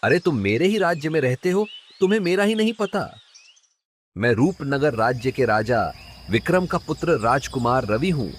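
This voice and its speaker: A man's voice: medium pitch, sing-song, moderate pace, a little hiss or hum, close to an everyday voice. A man voicing a haughty young prince in a cartoon story, with a formal, imperious manner. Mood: mocking, indignant.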